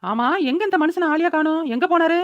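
A woman's voice: very high voice, brisk, dry recording, dull audio. A woman voicing a demanding, complaining older mother-in-law in a cartoon. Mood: grumbling. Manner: complaining, bossy. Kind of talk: cartoon voice-over dialogue.

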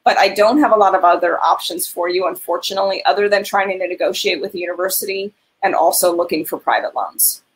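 A woman's voice: fairly low pitch, quick pace, few pauses, poor audio. A woman in her thirties on a video call, giving earnest, direct advice as a financial aid advisor in a webinar.

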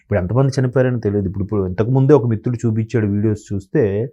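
Hesitant man with a mellow voice. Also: lowish voice, lo-fi sound. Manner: hesitant, many fillers and word-searching pauses. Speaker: analyst guest. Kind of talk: conversational commentary.

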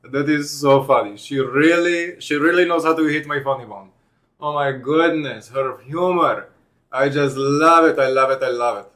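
A young man with a slightly higher voice commenting on clips with dry, deadpan sarcasm. He talks casually from a home room, close on a headset mic. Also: normal speed. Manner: sarcastic, deadpan mock-formal delivery. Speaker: host.

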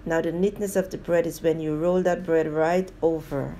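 Home cooking host, woman; lowish mellow voice, steady how-to delivery, faint hiss behind. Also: moderate pace. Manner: plain, steady instructional tone addressing viewers as guys. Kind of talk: step-by-step recipe narration.

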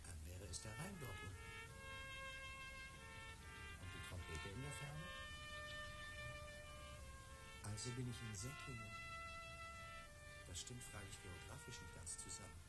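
An actor playing a disoriented, evasively polite husband in a radio play, speaking hesitantly and questioningly. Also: male voice, medium pitch, huge pitch swings, normal speed, long pauses, loud music behind the voice. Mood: puzzled.

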